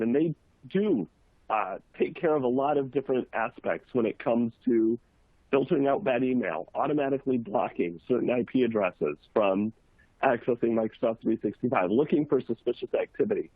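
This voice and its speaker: A man with a cold, sounding hoarse, giving a relaxed, conversational webinar talk on a video call with frequent fillers. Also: mid-range voice, normal speed, no room sound. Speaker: webinar presenter. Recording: tinny phone-like line, dry.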